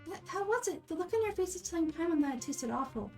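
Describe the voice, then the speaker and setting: A woman voicing a tiny, excitable, nosy fairy companion in amateur game dialogue, exclamatory and speaking of itself by name. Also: extremely high pitch, fast, soft background music, pitched above the performer's own voice. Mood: grossed out. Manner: exclamatory, refers to self by name.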